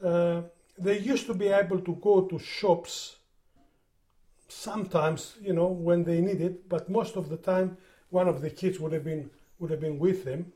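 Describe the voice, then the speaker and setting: A man's voice: high voice, steady tone, unhurried, mellow. An older man from Greece with a Greek accent, speaking English as a second language, recalling his working life in an interview, often repeating words as he searches for them. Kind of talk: oral history interview.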